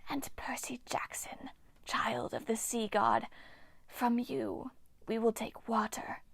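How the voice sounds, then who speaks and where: A woman voicing a bitter, ancient female water nymph in a slow, hissing whisper. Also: on the high side, vocal fry, slightly rough, no room sound, exaggerated voice. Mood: menacing.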